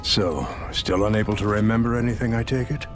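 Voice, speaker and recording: An actor playing a gruff, caring, world-weary doctor in a video game, measured and gently scolding. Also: male voice, on the low side, music in the background, close to an everyday voice. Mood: concerned scolding. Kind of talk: video game cutscene voice acting.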